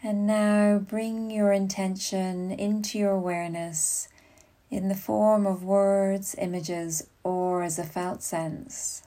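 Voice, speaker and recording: Slow, mellow woman guiding meditation. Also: medium pitch, little pitch movement. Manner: slow, soothing, unhurried instructions with long pauses. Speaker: yoga nidra meditation guide. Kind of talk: guided meditation.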